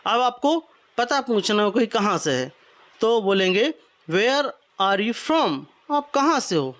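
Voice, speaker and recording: A man in his twenties from Madhya Pradesh teaching English phrases, explaining in Hindi and repeating each phrase slowly. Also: sits high, very animated, a bit bright. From Madhya Pradesh, India. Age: 20s. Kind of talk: spoken English lesson.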